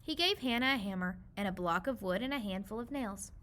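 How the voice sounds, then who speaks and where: A woman reading a children's picture book aloud, with expressive storytime narration. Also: mid-range voice, a little fast.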